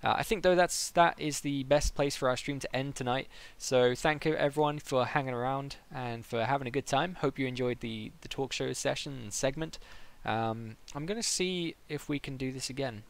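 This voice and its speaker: A man's voice: medium pitch, normal speed, creaky, few pauses. A man hosting a live stream, chatting casually as an interviewer. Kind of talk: casual livestream interview chat.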